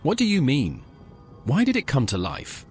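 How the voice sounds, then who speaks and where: A man's voice: mid-range voice, huge pitch swings, normal speed, soft background music. A synthetic-style man's voice playing an inquisitive adventurer hero in a fantasy video game. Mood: curious.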